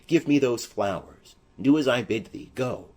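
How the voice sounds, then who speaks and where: A man's voice: mid-range voice, measured pace, darkish tone, lightly acted. A man playing a proud, mournful young noble who declaims formal verse in a tragedy audiobook. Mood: commanding. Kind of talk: classical tragedy audiobook acting.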